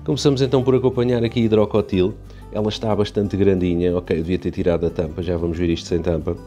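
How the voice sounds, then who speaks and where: A deep-voiced man from Portugal with a European Portuguese accent talks in a relaxed, chatty way, often restarting his sentences. His tone is dark and mellow, and faint background music plays under him. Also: fast. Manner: chatty, rambling, many restarts and fillers. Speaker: aquarium hobby youtuber. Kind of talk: casual walkthrough narration.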